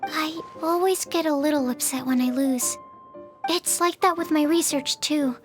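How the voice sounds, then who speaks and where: A woman's voice: high-pitched, measured pace, faint music behind. A woman voicing an earnest, polite young female alchemist in a video game, speaking in a thoughtful, apologetic manner. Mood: dejected, fretful. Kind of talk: video game character dialogue.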